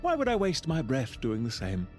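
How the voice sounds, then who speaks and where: A man playing a calm, courteous, scheming villain officer in a video game, speaking in a polite, formal, measured and theatrical way. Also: medium pitch, soft background music. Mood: calm, challenging. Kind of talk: scripted video game voice acting.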